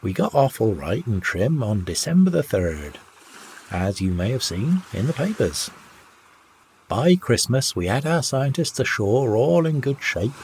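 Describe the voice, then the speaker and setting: A man reading an old sea yarn aloud as a narrator, in an expressive, old-fashioned raconteur's delivery. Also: medium pitch, measured pace, slight background noise, low-quality recording. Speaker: audiobook narrator.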